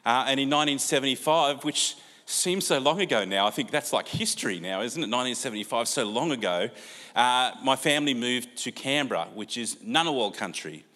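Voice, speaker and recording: An older Australian man giving a sermon in a relaxed, conversational way with many fillers, heard in a church hall with long reverb. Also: medium pitch, a little fast. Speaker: preacher.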